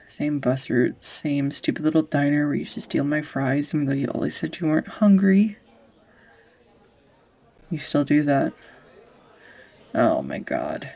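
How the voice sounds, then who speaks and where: Woman acting as nervous young woman, audio roleplay, husky, creaky, halting confessional delivery, tender, close-miked. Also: low voice, warm tone, exaggerated voice. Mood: wistful, emotional. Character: anxious, rambling, tender young woman reuniting with old sweetheart. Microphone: close USB studio microphone.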